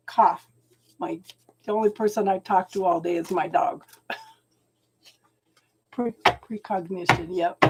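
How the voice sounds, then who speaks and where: A woman doing a live tarot reading at home, talking slowly and soothingly, often checking in with "okay?", and clearing her throat as she loses her voice. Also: on the high side, darkish tone, poor audio. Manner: slow, soothing, keeps adding "okay?". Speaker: tarot reader.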